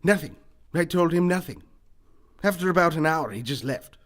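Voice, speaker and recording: A man's voice: on the high side, lively intonation, normal speed, fairly bright tone. A man playing a pompous, wealthy, eccentric businessman in a comic radio mystery, speaking in a formal, theatrical way. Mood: haughty, indignant. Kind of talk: comedic old-time radio mystery acting.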